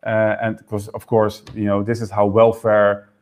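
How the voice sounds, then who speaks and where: Fast-talking Dutch-raised man, lowish voice. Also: completely flat delivery. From Netherlands. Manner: fast, repeats words while explaining. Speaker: political party member, guest. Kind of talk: interview conversation.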